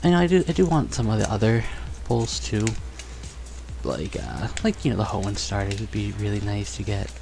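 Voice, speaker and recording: A young man chatting casually and excitedly while opening trading cards in a bedroom, on a headset mic. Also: lowish voice, moderate pace, creaky, music in the background. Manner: upbeat, chatty, excited exclamations. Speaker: card collecting vlogger. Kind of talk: casual unboxing chat. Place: bedroom studio, indoors.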